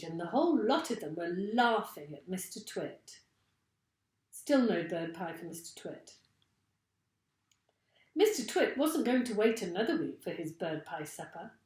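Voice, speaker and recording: A woman reading a children's story aloud like a teacher, with expressive, deliberate narration. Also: lowish voice, reverberant.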